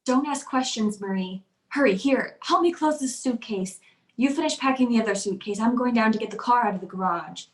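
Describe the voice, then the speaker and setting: A woman's voice: fairly high pitch, fairly quick, reverberant, natural voice, rough recording. A woman playing a frightened, pleading wife in a radio suspense drama, breathless and faltering. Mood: hurried, nervous. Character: frightened, pleading wife in fear for her life. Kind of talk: old-time radio suspense acting.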